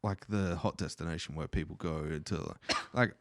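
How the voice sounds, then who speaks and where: A man's voice: sits low, normal speed, no room sound. A man hosting a podcast in casual, crude, joking chat, swearing freely. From Australia. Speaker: podcast host. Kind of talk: casual profane interview chat.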